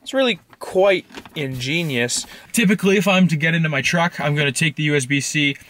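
A man's voice: a little high, fairly quick, slight background noise. A teenage young man from Canada giving a casual, rambling show-and-tell vlog from inside his parked pickup truck, filmed close on a handheld camera. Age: teens. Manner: relaxed, rambling, with fillers and asides. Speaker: vlogger.

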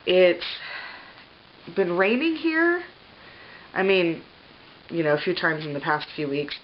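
Winded, stuffy low-voiced woman. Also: sing-song, slow pace. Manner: chatty, rambling with many fillers and self-deprecating laughs. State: out of breath. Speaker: pregnancy vlogger. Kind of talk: casual chat to camera. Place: bedroom, indoors. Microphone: camera on a tripod at medium distance. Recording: slightly dull home video with faint hiss.

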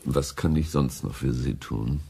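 Gravelly, officious station director. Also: male voice, very deep voice, a little slow, dark tone, a little hiss or hum, exaggerated voice. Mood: placating. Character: placating, officious male radio station director. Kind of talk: German radio play acting.

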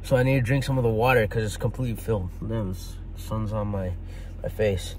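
A man's voice: on the low side, a little fast, background noise. A young man vlogging casually about his workout, rambling and laid-back with lots of fillers and restarts. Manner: rambling, filler-heavy, self-correcting. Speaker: fitness vlogger. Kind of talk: casual vlog talk to camera.

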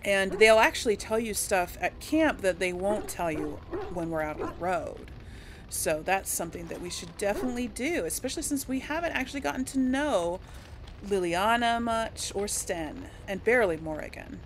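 A woman's voice: mid-range voice, lively intonation, moderate pace, slight background noise. A woman hosting a casual let's play, talking to viewers in a chatty, informal way. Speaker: gaming video host. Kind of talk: casual let's play commentary with role-played dialogue. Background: video game ambience.

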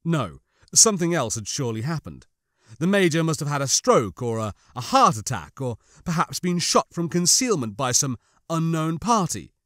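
A man's voice: on the high side, big pitch swings, moderate pace, a bit bright, no room sound, studio quality. A man reading scripted fiction as an audiobook narrator, with a dramatic, suspenseful delivery.

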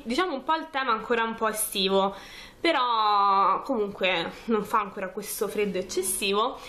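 A woman's voice: on the high side, pushed and bright. A woman, casually talking through a makeup tutorial step by step in an informal, chatty way. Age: 20s. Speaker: beauty vlogger. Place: small furnished room at home, indoors.

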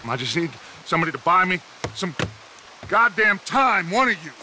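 An actor playing a proud, fraying middle-aged American outlaw leader in a video game, commanding and theatrical. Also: male voice, sits high, sing-song, normal speed, background noise. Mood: strained. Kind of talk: dramatic video game acting.

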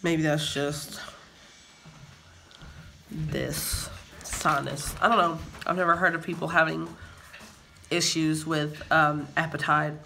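A man's voice: a little high, flat delivery, slow pace, gravelly, long pauses, pushed and bright, slight background noise. A young man with a sinus infection, chatting and joking drily at home into a phone camera. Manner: dry joking, playful. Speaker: vlogger's partner. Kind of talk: casual banter. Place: home, indoors.

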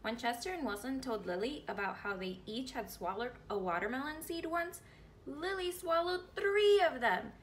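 A woman's voice: high-pitched, expressive, normal speed, a little hiss or hum. A woman in her thirties reading a picture book aloud expressively for children. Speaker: read-aloud storyteller.

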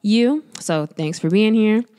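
A woman's voice: medium pitch, slow, mellow, smooth. A young woman co-hosting a podcast, asking questions in an easygoing, giggly way with many fillers. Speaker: podcast co-host, interviewer. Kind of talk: casual interview chat. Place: small studio, on a couch. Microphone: studio mic on a stand, headphones.

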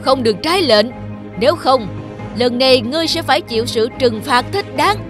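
A man playing a wind god who serves heaven, formal and forceful, in a cartoon fairy tale. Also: very high voice, lively intonation, brisk, music in the background. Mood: threatening. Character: male wind god, deferential courtier, harsh enforcer. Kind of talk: animated fairy tale acting.

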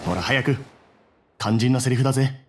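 A man playing a hearty mercenary father in a game, with a rough, theatrical, encouraging manner. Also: mid-range voice, normal speed, slight background noise, lightly acted. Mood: encouraging, teasing. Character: hearty male desert mercenary father, storytelling hero. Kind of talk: Japanese game voice acting.

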